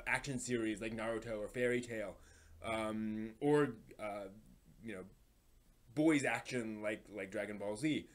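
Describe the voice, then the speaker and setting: A man with a medium-pitched voice hosts a casual live stream. He talks off the cuff and thinks aloud as he goes, often stalling and repeating a word before he carries on.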